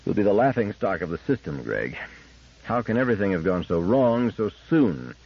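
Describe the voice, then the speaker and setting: A man's voice: low-pitched, big pitch swings, moderate pace, creaky, husky, muffled recording, full character voice. Man playing an agitated, bitter space engineer. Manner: exasperated, emphatic. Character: agitated, bitter red-haired male field engineer.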